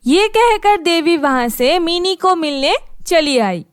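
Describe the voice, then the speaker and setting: A woman's voice: very high-pitched, lively intonation, takes it slowly, no echo, studio quality. A woman narrating a scripted children's story as its storyteller.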